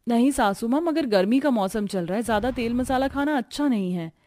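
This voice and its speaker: A woman's voice: on the high side, animated, a little fast, mellow, close to an everyday voice. A woman voicing a weary, put-upon young daughter-in-law in a family cartoon, plaintive but polite to her elders. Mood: polite pleading. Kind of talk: Hindi family cartoon voice acting.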